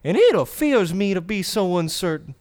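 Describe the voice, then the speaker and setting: A man's voice: sits high, very animated, moderate pace, darkish tone. A man playing an earnest, folksy, anxious farm boy with a rural American accent in an old-time radio drama parody. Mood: earnest, uneasy.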